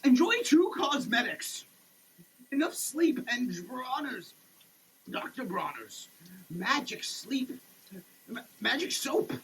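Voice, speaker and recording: A man giving a dramatic, preachy reading with emphatic pauses, like a sermon. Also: very high voice, sing-song, a bit bright, slight background noise, low-quality recording. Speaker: sketch performer. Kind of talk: dramatic reading of a soap label, declaimed like a sermon. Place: shower, per the page.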